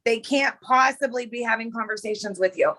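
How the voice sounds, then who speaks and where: An energetic woman leads her team through a casual pep talk, pressing each point with emphasis. Her voice sits fairly high and sounds bright and forceful. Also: fairly quick. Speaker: team leader, host.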